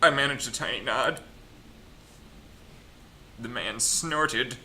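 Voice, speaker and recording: A man's voice: medium pitch, slow pace, bright, forceful tone, a little hiss or hum. A man hosting a group let's play, reading a visual novel aloud theatrically and breaking off for casual joking asides. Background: game music.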